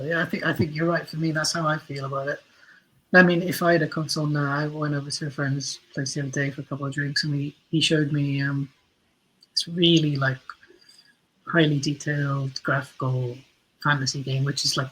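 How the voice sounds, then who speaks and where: A man's voice: on the high side, a bit bright, husky, low-quality recording. A man talking casually as a podcast guest about creating an audio drama, chatty and self-deprecating, often restarting his sentences.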